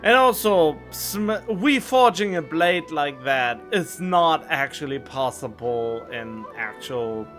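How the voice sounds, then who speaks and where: Man, game streamer, casual musing commentary, slow, somewhat high voice, desk mic, faint music. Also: a bit bright. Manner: musing, chatty, thinks aloud. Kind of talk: casual let's-play commentary. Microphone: desktop USB mic.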